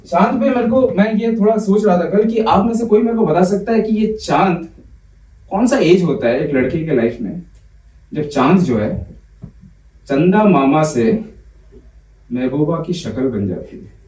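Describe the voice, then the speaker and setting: A young man on a comedy club stage, reciting poems in a measured way and bantering quickly between them. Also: sits high, lively intonation, a little mellow. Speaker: poet and comedian. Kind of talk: poetry recital with stand-up banter. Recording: roomy club sound from a distance.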